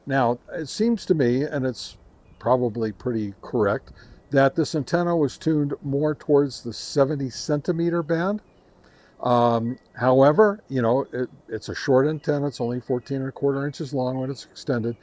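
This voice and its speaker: A man hosting a casual product review, speaking haltingly to his viewers and repeating words for emphasis. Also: medium pitch, darkish tone. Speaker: host reviewing radio antennas.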